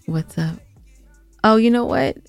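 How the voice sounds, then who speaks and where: A woman's voice: on the high side, animated, measured pace, vocal fry, no echo, faint music behind. Woman, podcast co-host, informal chat, clean resonant voice, very dry sound.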